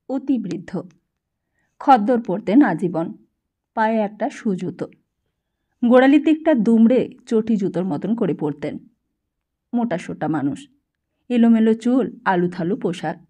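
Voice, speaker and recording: A woman's voice: medium pitch, dark tone. A woman narrating a literary novel as an audiobook, reading from a script.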